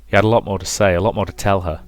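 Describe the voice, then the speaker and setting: Man, low voice, let's player, visual novel narration and commentary, background music. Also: steady tone, rapid-fire.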